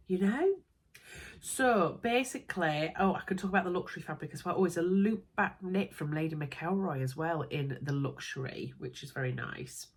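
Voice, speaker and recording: A woman's voice: fairly low pitch, husky. A young woman with a Yorkshire accent and a stuffy, congested voice from a cold, chatting in a bubbly way as she unboxes a sewing parcel at home. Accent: Yorkshire English. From Yorkshire, England. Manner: bubbly, chatty, lots of little asides. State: congested with a cold, nasal and bunged up. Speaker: sewing vlogger.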